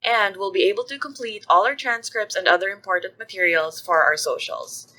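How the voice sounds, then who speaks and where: A woman's voice: mid-range voice, fairly quick, pushed and bright, rough recording. A woman podcast producer reading scripted credits and announcements to listeners.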